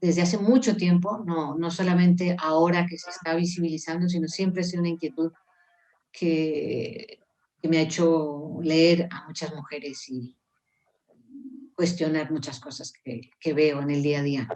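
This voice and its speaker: A woman's voice: low-pitched, fairly even intonation, a little slow, darkish tone, poor audio. A woman around sixty who grew up in Mexico, a musician and poet speaking as a guest over a video call, thoughtful and earnest with frequent hesitant restarts. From Mexico (grew up in Puebla, born in Colombia). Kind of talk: reflective conversational interview.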